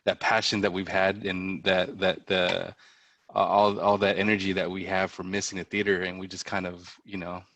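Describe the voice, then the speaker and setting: Effusive man with bright, low voice. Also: little pitch movement, moderate pace, no echo. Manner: effusive, repeats words as he starts phrases. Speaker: theatre director. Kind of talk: casual panel discussion. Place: remote video call.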